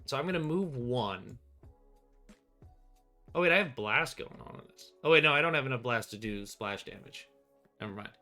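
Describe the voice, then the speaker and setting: A man's voice: medium pitch, animated, moderate pace, pauses often, bright, forceful tone, soft background music. Middle-aged man thinking aloud over a game. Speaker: solo tabletop game player and host. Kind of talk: casual play-through commentary, thinking aloud. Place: small indoor room with bookshelves.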